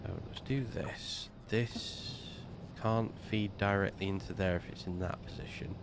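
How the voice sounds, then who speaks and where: Slow gravelly man with a snuffly cold. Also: on the low side, fairly even intonation, noisy background. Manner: slow, muttering to himself while building. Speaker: game streamer. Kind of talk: casual gameplay commentary.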